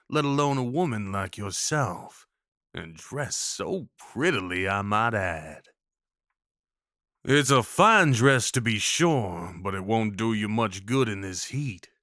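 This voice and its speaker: Male actor as a courteous cowboy, very unhurried folksy drawl, reassuring, rustic slang, close dry sound, audio roleplay. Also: lowish voice, pushed and bright, no room sound, full character voice. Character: friendly, courteous cowboy of the Old West, gallant and reassuring. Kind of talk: audio roleplay acting, addressing the listener.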